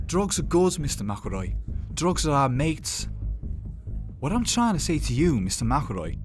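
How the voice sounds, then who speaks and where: A man's voice: a little high, big pitch swings, unhurried, soft background music, pitched above the performer's own voice. A man playing a chatty, oily, manic drug dealer in a film character monologue. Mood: oily, persuasive.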